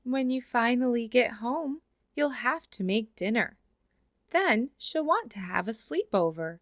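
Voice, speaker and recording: Woman, children's book read-aloud, expressive, slows for the ending lines, fairly high voice, dry close sound. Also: no room sound. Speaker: storybook reader.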